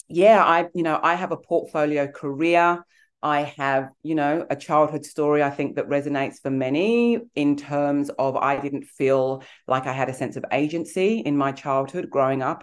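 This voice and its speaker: Woman, 40s, English accent with Australian tinge, earnest, reflective, interview guest. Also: very deep voice. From England. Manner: thoughtful, earnest, with soft fillers. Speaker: guest, executive coach.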